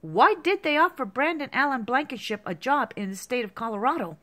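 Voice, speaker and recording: A woman's voice: sits high, expressive, fairly quick, fairly bright tone. A woman in her fifties in an agitated, repetitive rant to the camera, heavy with profanity. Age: 50s. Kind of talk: angry monologue to camera.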